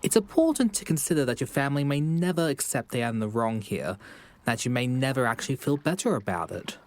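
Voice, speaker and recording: Frog therapist, played by a man: professional and reassuring, lively intonation, dry close sound, audio fairytale. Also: medium pitch, fast, no room sound, natural voice. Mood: measured, frank. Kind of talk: audio drama dialogue.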